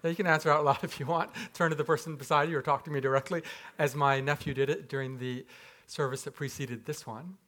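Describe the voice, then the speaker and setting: A man's voice: fairly high pitch, steady tone, fast, a bit bright. A man from Japan, a pastor, giving a conversational sermon with rhetorical questions and light humor in a church. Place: church sanctuary.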